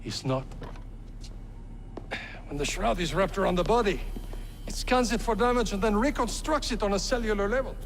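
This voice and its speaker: An actor playing a gleeful, scheming scientist in a conversational video game scene. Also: male voice, high-pitched, normal speed, long pauses. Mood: smug, gleeful. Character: gleeful scientist scheming over a cloning project. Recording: long hall reverb.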